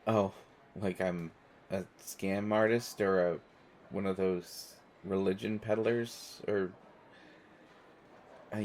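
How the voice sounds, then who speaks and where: A man's voice: low-pitched, vocal fry, a little mellow, slight background noise, exaggerated voice. A man playing a stressed, self-deprecating airport stranger in a conversational audio roleplay, talking in hesitant, rambling stammers. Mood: hesitant, wry.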